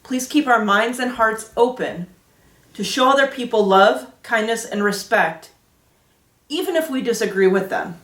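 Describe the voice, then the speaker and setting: A woman with a fairly high voice teaches children as a Sunday school host. She explains in simple, clear terms. The recording is roomy and echoey, picked up a little away from the microphone. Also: expressive, measured pace, fairly bright tone. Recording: roomy echo, distant microphone.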